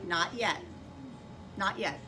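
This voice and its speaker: A woman's voice: on the low side, animated, slow pace. A woman teacher instructing young children in a classroom, giving directions, repeating them and asking lots of questions. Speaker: primary school teacher. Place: school classroom. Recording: noisy classroom recording. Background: classroom noise.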